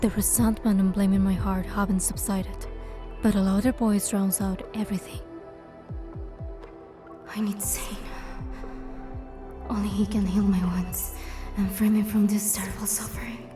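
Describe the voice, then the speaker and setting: A woman's voice: mid-range voice, little pitch movement, a little slow, music in the background, close to an everyday voice. A woman voicing a proud goddess in a game, delivering dramatic, solemn scripted narration. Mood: pained. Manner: solemn, storytelling. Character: wounded goddess narrating her suffering with pride.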